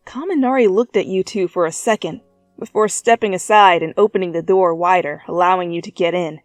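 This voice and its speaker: Female narrator, expressive and playful story reader, close dry sound, soft music underneath. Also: on the low side, a little fast, no echo. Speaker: fan fiction reader.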